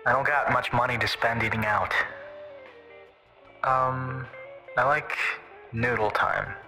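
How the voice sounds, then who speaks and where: A man's voice: medium pitch, fairly even intonation, pushed and bright. A man playing a terse, touchy young man telling a local ghost story. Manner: curt and gruff, then slow storytelling. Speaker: call-in guest storyteller. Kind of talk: fan audio drama acting. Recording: reverberant with music underneath.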